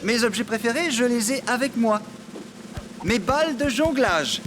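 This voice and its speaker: A man voicing an eager, excitable and easily worried farm friend in a children's cartoon. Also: extremely high pitch, unhurried, over music, pitched above the performer's own voice. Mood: cheerful, keen.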